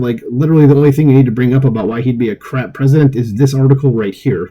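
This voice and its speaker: A man's voice: medium pitch, warm tone, low-quality recording. A man reading a news article aloud at home and breaking in with sarcastic comments, stumbling over words now and then. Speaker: political commentary host.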